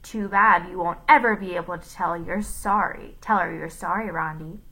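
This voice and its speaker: A woman's voice: lowish voice, steady tone, moderate pace, sharp tone, husky, exaggerated voice, low-quality recording. A woman voicing a mean, sneering male teacher with a sore-throated, sick-donkey French voice in a storybook reading. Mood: gloating. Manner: taunting, menacing.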